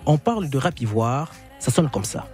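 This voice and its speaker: A man hosting a radio show from a studio, presenting in a measured way with frequent hesitations. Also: mid-range voice, sing-song. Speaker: radio host. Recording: radio broadcast with music behind. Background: music.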